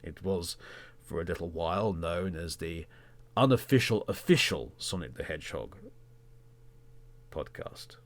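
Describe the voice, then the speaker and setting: A man hosting a podcast, talking reflectively in a measured, conversational way, in short phrases. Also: low-pitched, animated. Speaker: podcast host and presenter.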